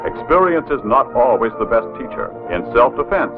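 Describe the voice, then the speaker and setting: An American man narrating a 1960s instructional film script in a formal, authoritative, measured way. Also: mid-range voice, animated, music in the background. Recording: tinny narrow-band old film soundtrack.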